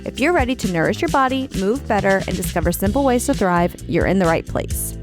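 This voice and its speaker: A woman reads a scripted podcast intro in an upbeat promotional manner. Her voice sits mid-range, and clear music plays beneath her. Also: medium pitch, animated, fairly quick. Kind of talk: scripted narration.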